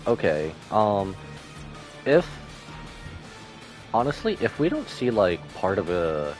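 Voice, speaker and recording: A man's voice: fairly low pitch, sing-song, slow pace, a little mellow, faint music behind. A man giving casual, slangy live reaction commentary as a game streamer. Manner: slangy, informal.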